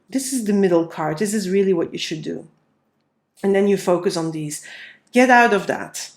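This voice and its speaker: A woman with a cold and a nasal voice, giving a casual tarot reading and talking through each card as she lays it out. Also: medium pitch. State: has a cold. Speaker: tarot reader.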